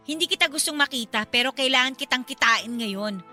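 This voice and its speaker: A woman's voice: high-pitched, brisk, pushed and bright, soft background music. A woman playing a desperate young single mother in an emotional, confrontational radio drama, pleading and accusing. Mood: tense pleading. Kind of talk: Filipino radio drama acting.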